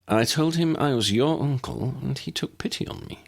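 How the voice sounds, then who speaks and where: A man's voice: medium pitch, moderate pace, gravelly, pitched above the performer's own voice. A man voicing a dignified, genial older uncle in an audiobook, with formal, precise, wordy speech. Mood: playful.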